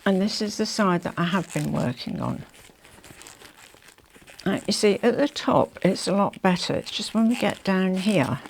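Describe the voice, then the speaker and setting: An older woman with a croaky, hoarse throat, chatting casually to camera as a fashion vlogger, rambling with confiding asides. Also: mid-range voice, expressive, moderate pace, a little hiss or hum. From England.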